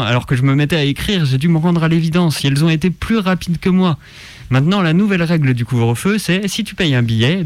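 A man's voice: a little high, dry recording. A man giving mocking, informal satirical commentary as a radio presenter, on a studio microphone. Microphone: close studio microphone.

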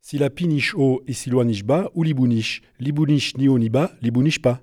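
A man's voice: mid-range voice, normal speed, mellow. A man hosting a student radio show, reading jingles and tongue twisters playfully. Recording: music bed under the voice.

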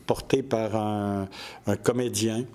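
An older man, a storyteller who talks in a relaxed interview and pauses often with many fillers. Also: lowish voice. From Quebec, Canada. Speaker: storyteller and festival organizer.

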